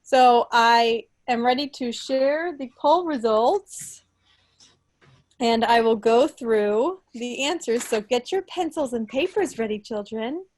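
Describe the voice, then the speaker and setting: A woman's voice: a little high, slow, darkish tone. An American woman in her thirties hosting a quiz over a home video call, casual and playful like a mock schoolteacher. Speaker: meeting host, quizmaster. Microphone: computer mic on a video call.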